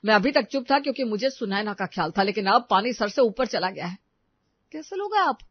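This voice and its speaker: A woman's voice: a little high, animated, a little fast, dry recording. An earnest young man, voiced by a woman, argues with impassioned, righteous insistence in a family melodrama. The recording is dry and a little muffled. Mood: indignant. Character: earnest, indignant young man defending a wronged widow. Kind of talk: Hindi audio story melodrama.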